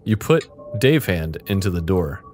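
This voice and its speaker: A man's voice: low-pitched, very animated, measured pace, a little mellow, soft background music. A man running an improvised story game as game master, narrating and bantering casually, with some laughter in his delivery. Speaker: game master hosting an interactive fiction game.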